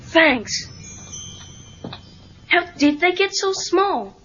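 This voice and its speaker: High-voiced, guarded American teenage boy. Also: lively intonation, very slow, a bit bright, heavily acted. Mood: curious, polite. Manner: casual, defensive. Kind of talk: audio story dramatization. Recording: dull cassette tape with music.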